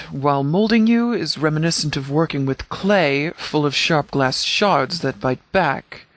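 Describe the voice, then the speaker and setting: An actor playing a stern, principled middle-aged sheriff in a video game, speaking in a formal, grave and deliberate way. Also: male voice, on the high side, no room sound. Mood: quietly earnest. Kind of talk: scripted video game character dialogue.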